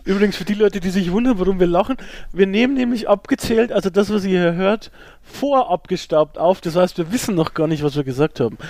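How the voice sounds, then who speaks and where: A man with a slightly high voice co-hosts a podcast in a very dry recording. He chats informally, in short bursts, dropping in joking asides. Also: fairly quick, darkish tone, no room sound. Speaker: podcast co-host. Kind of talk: casual podcast chat.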